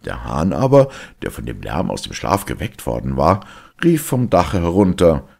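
A man calmly reading a fairy tale aloud as narrator. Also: very deep voice, normal speed, vocal fry, slightly rough.

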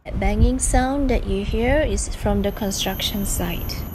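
A woman narrating a hiking vlog in a casual, hesitant, unscripted way. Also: measured pace. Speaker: hiking vlogger. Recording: noisy outdoor ambience, traffic rumble.

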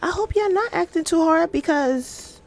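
A woman's voice: very high-pitched, animated, normal speed. A middle-aged woman hosting a casual devotional livestream, reading aloud and adding informal, chatty asides. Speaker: livestream host. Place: small indoor room at home.

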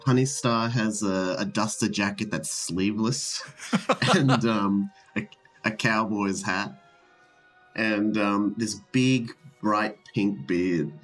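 A man's voice: lowish voice, slow, fairly bright tone, faint music behind. A man playing a tabletop game, bantering casually and jokingly with hesitant phrasing. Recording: slightly distant from the mic.

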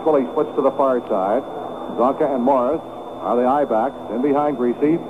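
A man's voice: medium pitch, moderate pace. A man doing folksy, partisan live radio play-by-play of a football game with vivid calls. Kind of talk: live sports play-by-play commentary. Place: stadium broadcast booth. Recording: noisy 1970s AM radio broadcast, narrow band. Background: loud stadium noise.

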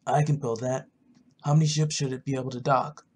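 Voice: medium pitch, steady tone, fairly quick, darkish tone, slightly rough, lightly acted. A gamer voicing a brash, friendly, pushy young builder in a video game roleplay, chummy and emphatic. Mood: matter-of-fact.

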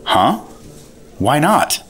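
An actor playing an earnest, defensive junior government agent in a 1950s-style radio drama, eager and quick to protest. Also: male voice, on the high side, very animated, full character voice. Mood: indignant, defensive. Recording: loud music bed behind voice.

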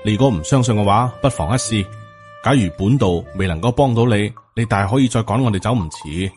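A man voicing a courteous, self-important Taoist priest in an audiobook, formal and measured, with a Hong Kong Cantonese accent. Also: fairly low pitch, a little mellow, soft background music, close to an everyday voice. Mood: polite confidence.

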